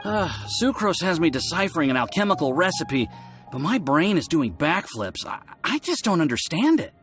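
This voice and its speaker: A man's voice: on the high side, very animated, moderate pace, fairly bright tone, faint music behind. A man voicing a flustered, discouraged young alchemist's assistant in a video game, hesitant and apologetic. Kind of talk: video game character acting.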